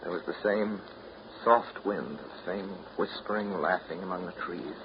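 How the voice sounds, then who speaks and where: A muffled, hissy old radio broadcast carries a moody man with a low voice. He speaks in clipped, understated lines with a sentimental, wistful streak, in 1930s drama style. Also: unhurried. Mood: wistful, earnest. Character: Jim, moody, sentimental man bound to his old family home.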